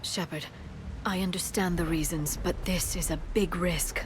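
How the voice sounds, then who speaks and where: A woman playing a worried, measured squadmate in a science fiction video game. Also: lowish voice, steady tone, noisy background, close to an everyday voice. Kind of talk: video game cutscene acting.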